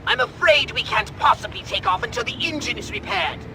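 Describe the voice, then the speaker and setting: A man playing a pompous, high-strung alien mastermind in a video game cutscene, theatrical and wordy. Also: big pitch swings, fairly quick, vocal fry, bright, forceful tone, slight background noise, full character voice. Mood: smug, explanatory.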